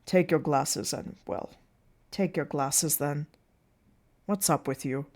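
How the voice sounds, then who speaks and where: Woman as a fatherly circus boss, lowish voice, earnest n halting, stage play. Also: a little mellow. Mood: hesitant. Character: fatherly, sentimental male circus manager.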